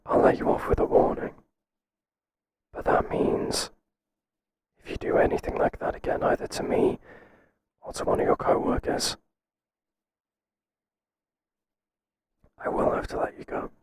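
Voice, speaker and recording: A man playing a stern but flustered boss in an ASMR roleplay, speaking in a halting, breathy whisper. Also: close to an everyday voice. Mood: stern, measured. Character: stern, flustered male boss resisting an employee's advances. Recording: clearly noisy, hissy background.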